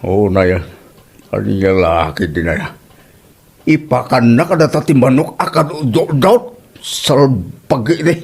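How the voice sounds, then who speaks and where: A man's voice: mid-range voice, unhurried, creaky, darkish tone, husky. A man playing an exasperated, fault-finding old father-in-law in a comedy radio drama, grumbling theatrically with an Ilocano accent.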